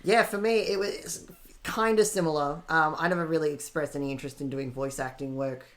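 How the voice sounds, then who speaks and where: A man's voice: a little high, fairly even intonation, a little fast, a bit bright. A man with an Australian accent, chatting informally as a voice actor being interviewed. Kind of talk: casual chat.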